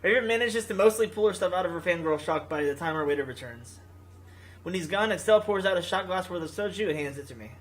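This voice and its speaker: Guy reading out a visual novel on his gaming channel, really animated pitch, trips on words and redoes em. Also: fast talker. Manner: stumbles over lines and rereads them. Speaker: gaming video host. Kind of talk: reading a visual novel aloud, voicing characters.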